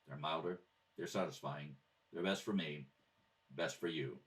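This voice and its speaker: A man's voice: extremely low pitch, a little slow, fairly bright tone, far from the mic. A man reading scripted announcements and narration in the style of an old-time radio program.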